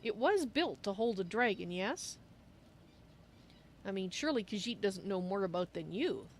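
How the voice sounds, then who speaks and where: A woman's voice: medium pitch, sing-song, normal speed, a little hiss or hum. A woman voicing a polite, hesitant cat-folk adventurer from a fantasy game, with a wry manner. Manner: speaks of herself in third person, hesitant, wry.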